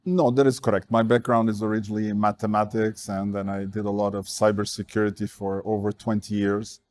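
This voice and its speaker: A man from Portugal speaks as an interview guest in a low voice. His pitch barely moves, and he pauses often with "uh" as he works through his answers. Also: level tone, a little mellow. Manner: measured, with frequent "uh" fillers. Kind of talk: casual interview talk.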